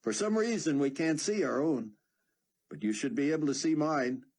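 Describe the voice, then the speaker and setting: A man voicing a steady, reassuring young man in an audiobook. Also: a little high, moderate pace, voice pushed up.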